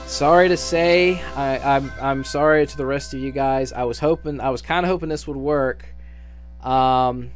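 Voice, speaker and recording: A man giving an unscripted, casual audition announcement for a fan dub, speaking in a hesitant, rambling way with frequent restarts. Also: medium pitch.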